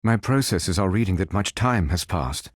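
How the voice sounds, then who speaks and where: A man playing a calm, noble android superhero in a video game, speaking in formal, precise, stilted robotic phrases. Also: sits low, normal speed, no room sound, studio quality. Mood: measured, analytical. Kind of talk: video game character voice lines.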